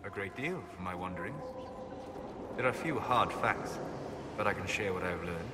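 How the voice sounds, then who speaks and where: An actor playing a calm, scholarly elven mage in a fantasy game, speaking in a measured, formal, lecturing manner. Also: male voice, sits low, very noisy. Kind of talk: scripted fantasy game dialogue.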